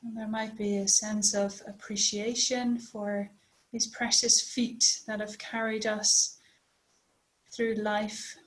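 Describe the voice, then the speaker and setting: A woman leading a guided body scan meditation as a teacher, speaking gently and unhurriedly with long pauses. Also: mid-range voice, little pitch movement, poor audio.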